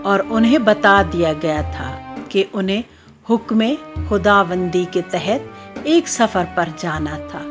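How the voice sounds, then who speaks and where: A woman narrating a scripted children's story in a measured, expository way. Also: mid-range voice. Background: background music.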